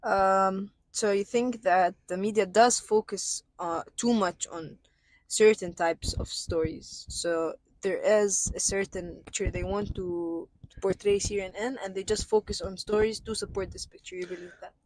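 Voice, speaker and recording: A woman interviewing a fellow student outdoors on a campus, asking her questions haltingly with fillers and restarts. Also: medium pitch, steady tone, a little mellow, rough recording.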